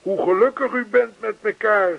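An actor playing an earnest, lonely old widower in a radio play, talkative and old-fashioned, repeating himself. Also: male voice, sits high, moderate pace, vocal fry, narrow, tinny audio. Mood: warmly earnest. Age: older.

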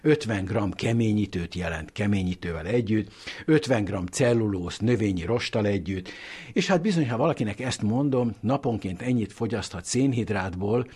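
A husky-voiced man giving emphatic, didactic explanations as a medical expert in an interview. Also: fairly low pitch, animated, a little mellow.

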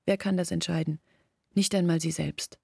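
An actress playing an earnest, bitter village woman in a German radio drama, reasoning insistently and intimately in a husky, creaky voice. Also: fairly low pitch, steady tone, moderate pace, dark tone, no echo, clean studio sound, heavily acted. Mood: earnest, persuasive.

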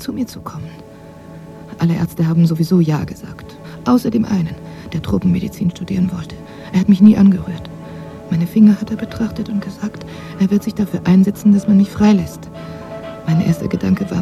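An actress plays a frail older woman with a creaky, gravelly voice. She talks in a weary, halting monologue full of bitterness, in radio drama style, with music underneath. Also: mid-range voice, steady tone, mellow, lightly acted. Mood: bitter, weary. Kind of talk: German radio drama acting. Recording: music behind the voice.

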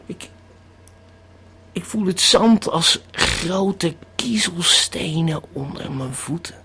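A stammering tourist who has swallowed a pill, as a man plays him in a radio drama. He keeps tripping over and repeating his words at a slow, dragging pace. His voice is husky and breathy. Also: on the high side, lively intonation, pushed and bright, exaggerated voice. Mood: dazed, alarmed.

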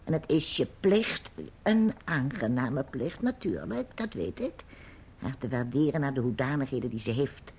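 A woman playing a grim but kindly old great-aunt in a radio play, speaking deliberately and admonishingly in a husky voice. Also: extremely low pitch, expressive, a little mellow, full character voice. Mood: earnest, tender. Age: older. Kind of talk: Dutch radio play acting. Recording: dull, muffled radio recording.

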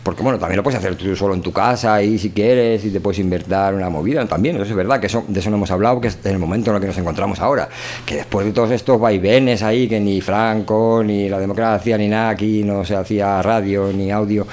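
A man's voice: lowish voice, fast talker, a little mellow. A man with an accent from Spain hosting a community radio show in a casual, rambling, colloquial way. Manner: rambling, informal.

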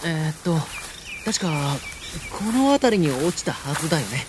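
A man voicing a cheerful, relaxed younger brother who talks casually and calms his older brother, in a drama CD. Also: a little high, normal speed, background noise. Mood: easygoing. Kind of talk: anime drama CD acting.

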